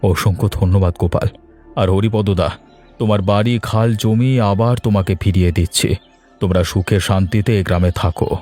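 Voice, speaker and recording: An actor playing a remorseful, ailing older village landlord in a moral-tale cartoon, speaking haltingly and earnestly. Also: male voice, lowish voice, fairly even intonation, a little mellow, faint music behind, close to an everyday voice. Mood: grateful, relieved.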